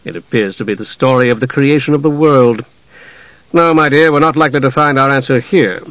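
Dark elf wizard, played by a man: male, soft-spoken menace, measured, icy, fantasy audiobook, close dry sound. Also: mid-range voice, dry recording, dull audio, lightly acted. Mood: suspicious, irritable. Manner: quiet, measured, sardonic.

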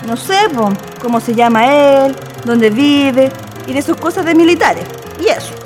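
A woman acts a dreamy young Chilean woman in love, earnest and girlish, for a radio drama. The voice is high, over faint background music. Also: unhurried, a little mellow. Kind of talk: amateur radio play acting.

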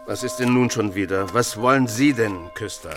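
An actor playing a curt, irritable country pastor with a formal, brusque manner in a radio play. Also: male voice, on the low side, moderate pace, over music, natural voice. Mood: irritated.

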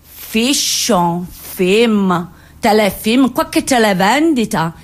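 A man's voice: high voice, animated, drawn-out words, full character voice. Officious, scornful male cop for a stage monologue, bureaucratic and curt played by a woman. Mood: scrutinizing, mocking. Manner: officious, reeling off figures.

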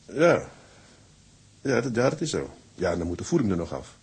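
A young merchant sailor at home in a radio drama. His voice is low and heavily clouded, with a colloquial, affectionate way of talking to his wife. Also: sing-song, normal speed, slightly rough, heavily acted. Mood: thoughtful. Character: Jan, warm male merchant seaman, loving young husband.

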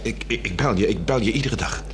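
A man's voice: on the low side, expressive, brisk, crowd noise, lightly acted. A man acting an earnest, stubborn married man in a 1960s radio drama, plain and insistent in delivery. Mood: pleading. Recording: noisy old radio recording with hum.

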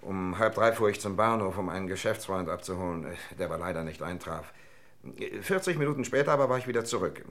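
Deep-voiced, testy businessman suspect. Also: animated, quick pace, a bit bright. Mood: defensive. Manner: irritable, defensive, blustering. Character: indignant businessman husband under suspicion, testy, defensive. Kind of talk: German radio crime drama acting.

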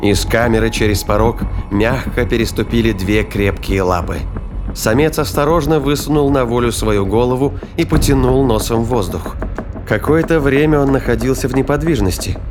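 A man's voice: mid-range voice, normal speed. A man narrating a sci-fi thriller audio drama in a scripted storytelling style. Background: music and sound effects.

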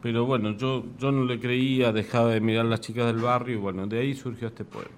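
Man, Argentine accent, poet, ironic delivery, live reading, medium pitch, background noise. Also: steady tone, a little fast. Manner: wry, ironic. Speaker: poet reading own work. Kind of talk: live poetry reading with casual introductions. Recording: clearly noisy live room recording.

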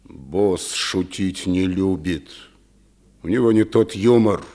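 A man's voice: low-pitched, takes it slowly, mellow. An actor playing a sly, threatening henchman in a radio play, speaking insinuatingly as he bargains for his boss. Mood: quietly threatening. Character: menacing male henchman of a powerful boss, sly negotiator.

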